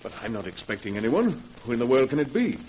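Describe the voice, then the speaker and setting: An actor playing an unstable, secretive gentleman recluse with formal, theatrical manners in a 1940s radio horror drama. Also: medium pitch, very animated, fast talker, lightly acted. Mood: flustered. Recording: noisy old 1940s radio recording.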